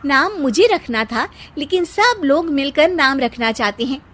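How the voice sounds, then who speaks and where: Woman doing a fed up young cartoon mother, really high voice, sarcastic and whiny complaints. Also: animated, unhurried, fairly bright tone. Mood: exasperated. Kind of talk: comic cartoon dialogue.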